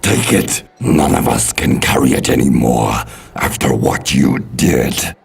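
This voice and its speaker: A man voicing Satan as a sneering male devil lord, speaking slowly with contempt. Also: full character voice. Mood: grudging. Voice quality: deep gravelly growl. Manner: slow, contemptuous.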